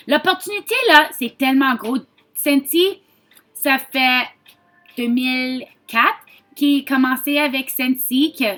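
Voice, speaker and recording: A Franco-Ontarian woman with a high, bright voice talks casually as a sales consultant. Her delivery is chatty and informal, with English words slipped into her French. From Ontario, Canada. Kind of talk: casual sales pitch to camera.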